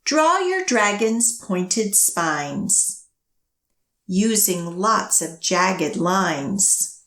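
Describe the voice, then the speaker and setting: A woman leading a children's storytime, reading picture books and action rhymes in an expressive, measured, sing-song way. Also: medium pitch, roomy sound.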